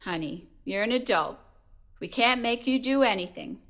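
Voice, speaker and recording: A woman's voice: a little high, expressive, normal speed, fairly bright tone. A woman playing a patient, cautious middle-aged mother of a grown son in an audio drama. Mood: supportive.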